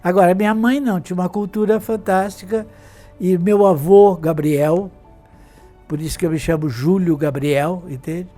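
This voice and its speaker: An elderly man in his eighties, from Brazil, with a notably high voice, recalls his family in a meandering way, restarting sentences and checking in with tag questions. Faint music sits behind him. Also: steady tone, normal speed, dark tone. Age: 80s. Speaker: interviewed artist and fashion designer. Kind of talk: reminiscing in an interview.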